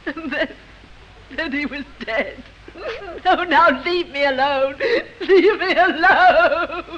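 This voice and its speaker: A woman's voice: high-pitched, takes it slowly. An actress playing a distraught, defensive divorced woman suspected of murder in a 1950s radio drama. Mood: hysterical. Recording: dull old radio recording, faint noise.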